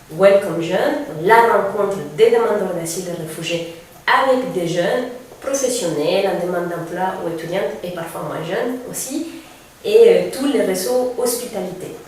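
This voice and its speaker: Brief: woman, 30s, from Mexico, explains things steadily with fillers, very echoey room, mic set well back. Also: lowish voice. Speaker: refugee aid coordinator. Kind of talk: presentation to camera. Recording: very echoey room, distant mic, faint noise.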